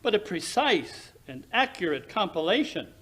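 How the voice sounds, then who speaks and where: Gentleman sleuth played by a man, radio drama: high-voiced, very animated, precise, condescending, theatrical, supremely confident. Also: moderate pace, full character voice. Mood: lecturing. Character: brilliant, self-assured Victorian English gentleman detective. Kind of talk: recreated 1940s radio drama acting.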